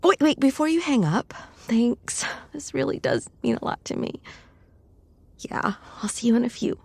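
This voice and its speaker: Woman acting a breathy, animated lamia girl. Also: on the high side, moderate pace, full character voice. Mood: chatty, worried. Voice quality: breathy, often dropping to a whisper. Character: shy, cold lamia snake-woman, affectionate childhood friend. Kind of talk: intimate roleplay audio drama, ASMR-like.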